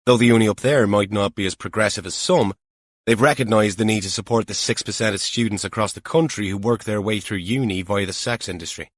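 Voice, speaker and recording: A man's voice: on the low side, a little fast, no room sound, studio quality, lightly acted. A man voicing a seasoned, colloquial police officer with a wry, emphatic manner in an audiobook.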